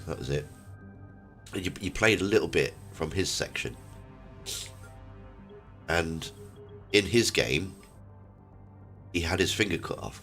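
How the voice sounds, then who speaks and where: Very deep-voiced man chatting while gaming. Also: fairly bright tone. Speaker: streamer and host. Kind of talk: casual chat while gaming.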